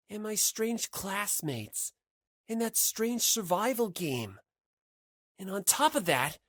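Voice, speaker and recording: A man's voice: fairly high pitch, fairly bright tone, dry recording, voice pushed up, heavily acted. A man voicing a bewildered, self-doubting teenage schoolboy, musing hesitantly to himself in an audiobook monologue.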